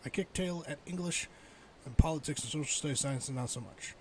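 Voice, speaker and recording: A man's voice: a little high, a little fast. Casual male game streamer over background noise. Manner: thinks aloud, muttering while playing and chatting with viewers. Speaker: livestreamer.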